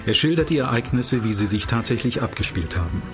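A man reading a scripted introduction as the announcer of a radio play, in a measured, factual manner. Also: medium pitch, animated, music in the background. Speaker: narrator and announcer.

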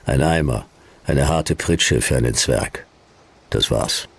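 An actor playing a cynical hard-boiled detective in a noir radio drama, speaking in dry, clipped, deadpan phrases. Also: male voice, extremely low pitch, slow pace, husky, no room sound, full character voice. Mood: terse, sardonic.

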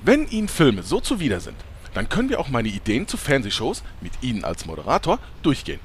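A man's voice: mid-range voice, huge pitch swings, brisk. A man plays a cheerful pest of a sidekick in a comedy radio play. He chatters theatrically in a mid-range voice that swoops up and down dramatically, with faint noise behind. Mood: chirpy, pestering. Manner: chirpy, pestering, theatrical. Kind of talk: German comedy audio drama acting.

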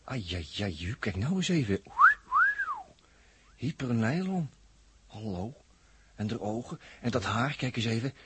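An actor playing a wry, chatty crewman in a 1960s radio drama, full of droll asides, with a husky voice. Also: medium pitch, animated, a little slow, pauses often, slight background noise, natural voice. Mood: amused, marvelling. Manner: droll asides, tutting exclamations, folksy hesitant phrasing. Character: wry, chatty male crewman watching and commenting.

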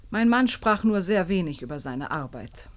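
An actress playing a guarded, unyielding wife under police questioning in a 1950s German radio drama, speaking in a controlled, formal, curt way. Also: female voice, lowish voice, very animated, moderate pace. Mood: guarded, cool.

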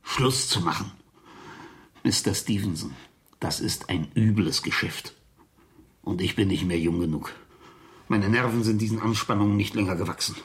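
An actor playing an anxious, polite, ageing chemist and fearful accomplice in a 1970s German radio play. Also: male voice, lowish voice, normal speed, long pauses, slightly rough, no echo. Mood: weary, pleading. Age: older. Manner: anxious, formal, deferential.

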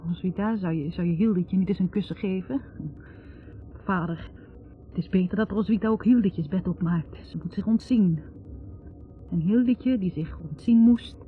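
An actress playing a wounded, bitter young academic woman confessing in a halting, accusing way in a 1960s radio drama. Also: on the low side, a little hiss or hum, close to an everyday voice. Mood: bitter, weary. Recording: tinny narrow-band 1960s radio recording.

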